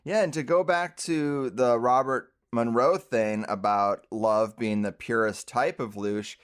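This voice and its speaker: A man's voice: medium pitch, a little slow, clear, resonant tone. A man hosting a podcast interview, speaking conversationally and articulately in long, reflective turns. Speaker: podcast host.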